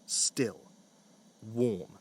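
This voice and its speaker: A man's voice: lowish voice, very slow, background noise. A man narrating a scripted story with wry, dry humour.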